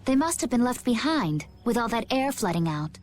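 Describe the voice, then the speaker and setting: A woman's voice: on the high side, sing-song, normal speed, slight background noise. An actress playing a composed, perceptive woman adventurer in a video game, speaking in a probing way. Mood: concerned, probing. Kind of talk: voice acting in an English video game cutscene.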